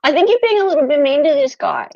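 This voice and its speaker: A woman's voice: sits high, quick pace, creaky, no room sound, lo-fi sound. A woman from Thailand with a Thai accent, chatting playfully as a guest on a livestream over a video call. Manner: playful, animated, teasing. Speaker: guest podcaster. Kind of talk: casual livestream chat.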